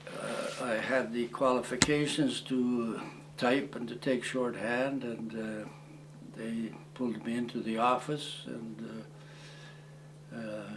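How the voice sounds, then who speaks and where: A man in his eighties, originally from Croatia, recalling his past in an oral history interview, speaking haltingly with many fillers and restarts. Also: mid-range voice, steady tone, background noise. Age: 80s. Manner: plain, matter-of-fact storytelling. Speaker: war veteran interviewee.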